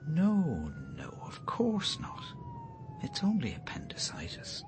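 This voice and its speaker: An actor playing a gentle, anxious father, speaking in hushed radio drama style. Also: high-pitched, huge pitch swings, slow, background noise, full character voice. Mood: soothing, hushed. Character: gentle, anxious father soothing his sick daughter.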